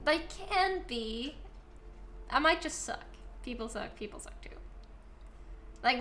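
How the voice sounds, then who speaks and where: A woman's voice: fairly high pitch, creaky, a bit bright, slight background noise. A young Norwegian woman with a Norwegian accent streaming a video game, chatting casually and answering chat, a little self-deprecating. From Norway.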